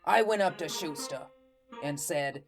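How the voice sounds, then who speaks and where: A woman's voice: sits low, over music. A woman playing a plainspoken, vengeful ghost who tells his tale in a folksy, colloquial way in an audio drama. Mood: wistful, aggrieved. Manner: folksy, colloquial, deliberate storytelling. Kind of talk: first-person audio drama narration.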